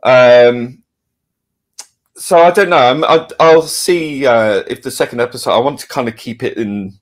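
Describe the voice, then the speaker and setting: A man chats casually as an interview guest. He speaks haltingly and with some self-deprecation, in a middle-pitched voice, chuckling as he goes. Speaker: audio drama producer and director, podcast guest.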